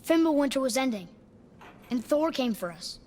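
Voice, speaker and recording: A man's voice: extremely high pitch, sing-song, normal speed, a little mellow. A young male actor playing an earnest, curious boy in a video game. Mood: uneasy. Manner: earnest, youthful, casual. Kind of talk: video game cutscene acting.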